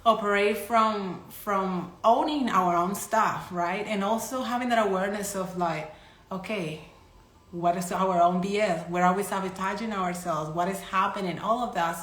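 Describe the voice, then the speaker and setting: A woman's voice: medium pitch, moderate pace, a bit bright. A woman in her thirties from Colombia with a Colombian Spanish accent, a psychic medium chatting loosely to her followers on a live stream with frequent restarts. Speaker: psychic medium and energy healer. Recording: echoey room.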